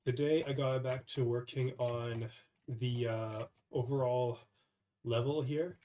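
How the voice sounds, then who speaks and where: A man's voice: mid-range voice, steady tone, slow pace, dark tone. A young man with a cold, sounding stuffy, talking casually and hesitantly through a devlog with many fillers and restarts. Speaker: indie game developer. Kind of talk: casual devlog screen recording.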